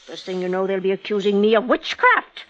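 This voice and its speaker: An actress playing a defiant, secretive old village healer in a 1940s radio drama, with theatrical, archaic speech. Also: female voice, medium pitch, animated, a little fast, a bit bright, faint music behind, close to an everyday voice. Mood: urgent, commanding. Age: older.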